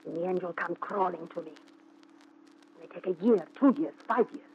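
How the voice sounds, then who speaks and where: A woman's voice: lowish voice, moderate pace, gravelly, a little mellow, a little hiss or hum. A woman playing a jealous, possessive, menacing wife in an intense, theatrical 1940s radio thriller style. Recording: dull vintage 1940s radio recording.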